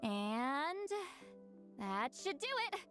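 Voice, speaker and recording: Youthful immortal shaman girl for a game, kinda high voice, hesitant and guarded, bit of bg music. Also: sing-song, very slow, fairly bright tone, full character voice. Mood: focused. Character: centuries-old young-sounding female shaman, gruff but awkward, guarded. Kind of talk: scripted video game voice acting.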